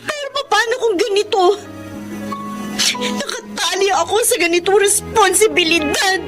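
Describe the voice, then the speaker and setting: A woman's voice: very high-pitched, normal speed. An actress playing a fed-up young eldest daughter who carries her family, emotional and reproachful, in a Filipino melodrama. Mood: hurt, tearful. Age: young adult. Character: fed-up breadwinner eldest daughter, resentful but dutiful. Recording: music behind the voice.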